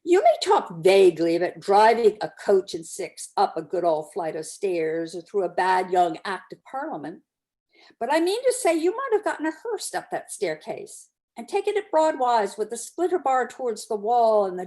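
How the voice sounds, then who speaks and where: A woman giving an expressive, deliberate dramatic reading of a classic story, pausing for emphasis as a community reader. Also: mid-range voice.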